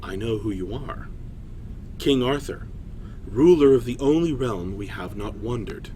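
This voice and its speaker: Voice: medium pitch, animated, measured pace, warm tone, slight background noise, natural voice, poor audio. A man playing a proud, solemn female clay golem in a fantasy story, with grave, formal speech.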